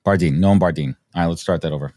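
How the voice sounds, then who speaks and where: Eager podcast host with a deep, dry voice. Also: male voice, fast talker, mellow, dry recording. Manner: enthusiastic, restarts and repeats words. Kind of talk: casual interview chat.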